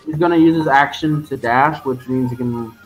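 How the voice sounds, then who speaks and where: A man's voice: medium pitch, normal speed, faint music behind, low-quality recording. An eighteen-year-old man chatting casually as a player in a tabletop game. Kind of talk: casual chat.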